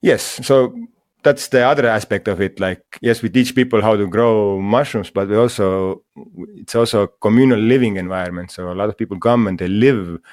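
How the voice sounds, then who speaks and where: A man from Estonia, a guest in an interview, speaking English in a halting way with many fillers and restarts. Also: fairly low pitch, a little mellow, no room sound, clean studio sound. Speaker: guest, mushroom school founder. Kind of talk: casual interview talk.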